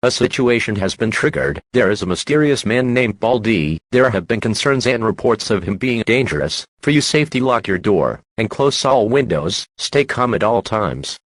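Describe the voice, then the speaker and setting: A man reading a scripted emergency broadcast announcement in a formal, measured, official manner. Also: on the low side, expressive, no room sound.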